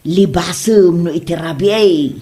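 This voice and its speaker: A woman's voice: lowish voice, measured pace, mellow, natural voice. A woman with an Ilocano accent playing a gossipy, grumbling neighbour wife in an amateur comedy drama.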